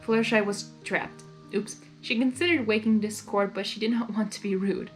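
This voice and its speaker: A woman's voice: mid-range voice, fairly even intonation, normal speed, soft background music. A woman narrating a story expressively, in the manner of an amateur reader who reacts as she goes. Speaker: fan fiction reader.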